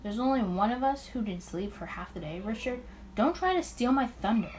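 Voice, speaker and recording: A woman's voice: on the high side, animated, brisk, darkish tone, a little hiss or hum, lightly acted. A woman voicing a teasing, affectionate young male detective partner in a visual novel. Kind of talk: visual novel character dialogue.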